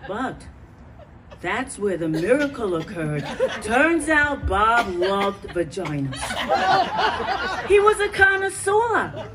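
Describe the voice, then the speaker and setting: A woman's voice: mid-range voice, sing-song, loud music behind the voice, a loud crowd. An actress playing a woman fondly recalling an intimate awakening, telling it as a dramatic, savouring monologue at a staged reading. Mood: savouring, amused. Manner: dramatic, savouring storyteller with deliberate pauses. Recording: distant mic, noisy live venue.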